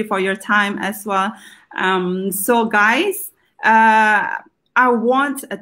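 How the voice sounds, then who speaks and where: A woman hosting a live video talk, speaking casually with many pauses and fillers. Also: medium pitch, fairly bright tone. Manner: hesitant, many ums and uhs. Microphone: video call.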